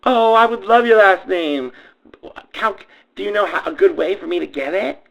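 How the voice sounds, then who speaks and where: A man's voice: high voice, moderate pace, creaky, fairly bright tone, dull audio. A man voicing a fawning, scheming young housewife in a campy comedy radio play, gushing and stretching out words theatrically. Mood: gushing, sweet.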